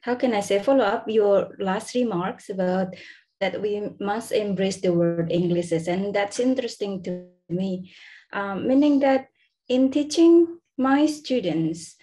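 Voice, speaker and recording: A woman's voice: mid-range voice, measured pace, mellow, poor audio. A woman, a lecturer, asking a formal question over a video call, polite and searching for her words.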